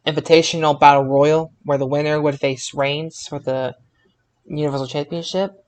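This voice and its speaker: A man with a somewhat high voice. He talks casually as one of the co-hosts on a wrestling recap podcast. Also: flat delivery, normal speed. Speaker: podcast co-host.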